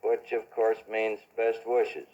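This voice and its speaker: A man telling a first-person reminiscence in a measured, wry way with old-fashioned formal phrasing. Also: on the low side, monotone, warm tone. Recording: dull archival radio recording.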